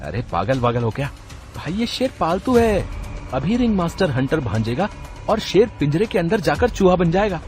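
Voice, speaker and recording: A man's voice: fairly high pitch, big pitch swings, normal speed, over music. An actor playing a plain-spoken young man at a circus in a cartoon story, talking casually and with animation. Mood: dismissive.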